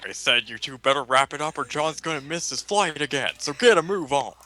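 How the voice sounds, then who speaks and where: A man's voice: on the high side, expressive, brisk, pushed and bright. A man reading the side characters aloud in a casual group reading, with dry, teasing asides. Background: faint classical music.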